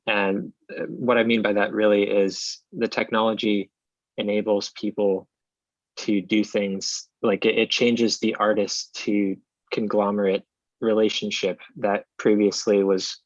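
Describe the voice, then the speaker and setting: A man from Canada, a project co-creator, giving a thoughtful interview answer with pauses and restarts. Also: fairly low pitch, dry recording, dull audio. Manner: thoughtful, with many ums and restarts.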